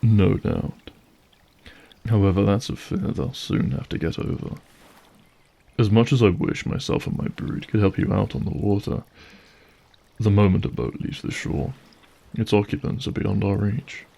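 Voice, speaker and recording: Casting: male actor as courtly, ruthless vampire lord for audio drama; heavy gravel, dark husky tone, veiled threat. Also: fairly low pitch, fairly even intonation, exaggerated voice. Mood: courtly, pressing. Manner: formal, silky, measured politeness with veiled threat. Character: suave, menacing vampire brood leader, courtly and ruthless.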